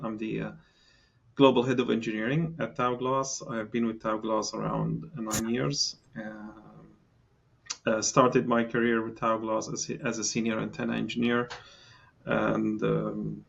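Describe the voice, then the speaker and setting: A man's voice: lowish voice, creaky, slightly rough, lo-fi sound. A middle-aged man from Palestine, a guest in a podcast interview, talking with many fillers and restarts. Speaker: engineering guest.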